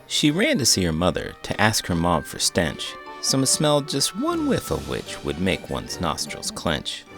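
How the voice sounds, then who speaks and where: A man with a low voice reads a children's story aloud. His delivery is theatrical and very animated, swinging widely in pitch. Faint music plays underneath. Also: unhurried, gravelly. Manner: theatrical, expressive. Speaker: host, children's book reader.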